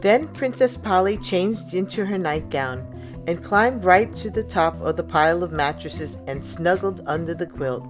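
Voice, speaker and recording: A woman reading a children's picture book aloud as a storyteller, in an expressive, deliberate way. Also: fairly low pitch, over music. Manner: deliberate, expressive storybook delivery with acted sound words.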